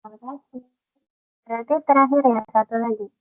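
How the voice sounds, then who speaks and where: A young woman casually runs a student group call, passing turns along. Her higher voice comes through dry and slightly dull, with music playing behind it. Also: fairly even intonation, brisk, no room sound. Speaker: student moderator handing over turns.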